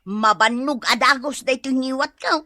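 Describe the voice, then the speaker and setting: A woman's voice: high-pitched, normal speed, sharp tone, dry recording, studio quality. A woman with an Ilocano accent playing a cheerful, boastful village gossip in a comedy radio drama.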